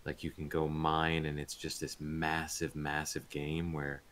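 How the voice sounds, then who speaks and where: Male interview guest, extremely low voice, laid-back, halting conversational delivery. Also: completely flat delivery, a bit bright. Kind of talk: casual chat.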